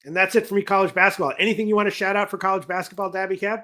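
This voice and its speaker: A man hosts a sports betting show, his voice a little high and very bright. He rambles, breaks off to correct himself and reads viewer comments aloud. His voice is starting to go hoarse. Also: fairly even intonation, racing. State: losing his voice. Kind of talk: casual betting talk on a livestream.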